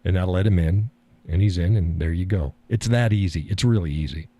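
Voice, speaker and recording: A man hosting a live call-in stream, talking in a calm, easygoing way and chuckling often. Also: deep voice, brisk. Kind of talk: casual chat.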